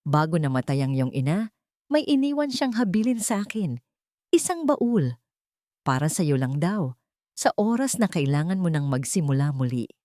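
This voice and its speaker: A woman voicing a kindly, serious older neighbour woman in a dramatic story, gentle and solemn. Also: low voice, big pitch swings, normal speed, dry recording, studio quality.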